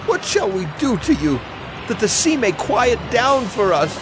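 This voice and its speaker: Desperate sailor, fearful and anxious, high pitch, lively inflection, biblical audio drama. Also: male voice, unhurried, over music, poor audio.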